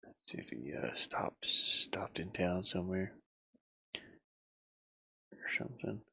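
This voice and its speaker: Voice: sits low, lively intonation, slow, vocal fry, pushed and bright, breathy edge, dry recording. A person giving casual, unscripted commentary while working on their own game, often muttering to themselves as they go. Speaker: indie game developer showing own game in progress.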